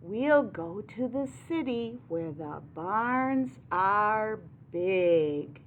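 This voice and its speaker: A woman's voice: mid-range voice, expressive, drawn-out words, phone-quality sound, slight background noise. A woman voicing an indignant, squealing old pig in a children's storybook tale. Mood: excited.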